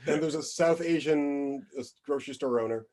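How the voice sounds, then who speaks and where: A man's voice: fairly high pitch, normal speed. A man co-hosting a podcast, talking casually and working through his points with fillers. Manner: conversational, hedging with fillers, expressive. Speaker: podcast co-host. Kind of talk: casual chat.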